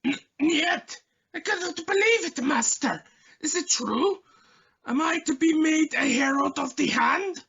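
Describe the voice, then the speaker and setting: A man playing a fawning, devoted agent of a dark order in a radio play, speaking with servile fervor. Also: extremely high pitch, lively intonation, a little slow, gravelly, full character voice. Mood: awed, eager. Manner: servile, fervent. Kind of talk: amateur sci-fi radio play acting. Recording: muffled recording with faint noise.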